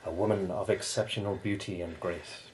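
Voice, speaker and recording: A man's voice: sits low, fairly even intonation, moderate pace, darkish tone, a little hiss or hum, natural voice, low-quality recording. A man acting a courtly palace lord in a radio drama, suave and formal with a probing, insinuating manner.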